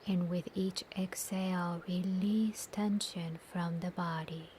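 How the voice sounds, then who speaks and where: A woman guides a meditation very slowly in a soft, breathy near-whisper. Her pitch stays slightly low and very even, with long soothing pauses. Faint ambience sits behind her voice. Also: a little hiss or hum. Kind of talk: guided meditation, slow scripted instruction. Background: soft nature ambience.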